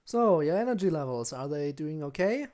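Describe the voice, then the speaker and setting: A woman's voice: sits low, lively intonation, normal speed, warm tone. A woman game streamer giving casual live commentary with offhand, playful asides. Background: game music.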